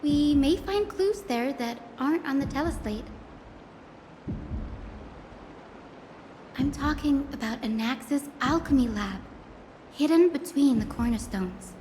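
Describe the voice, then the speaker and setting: Casting: composed young scholar woman, high voice, formal and articulate game storytelling, long reverb over music. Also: animated, moderate pace, a little mellow, slight background noise. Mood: confiding. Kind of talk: scripted game dialogue, storytelling.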